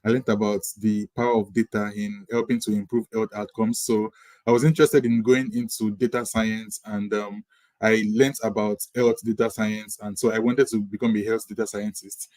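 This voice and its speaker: A man's voice: on the low side, flat delivery, normal speed, darkish tone, dry recording. A man with a Nigerian accent, a postgraduate student answering questions on an online panel. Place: online video call.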